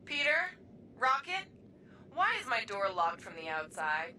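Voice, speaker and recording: A woman's voice: sing-song, unhurried, sharp tone, heavily acted. A skeptical alien assassin from a video game. She is serious and blunt, firing doubtful questions. The voice sits a little away from the mic with noisy ambience around it. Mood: puzzled. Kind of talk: video game cutscene acting. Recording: distant, noisy rumbling ambience.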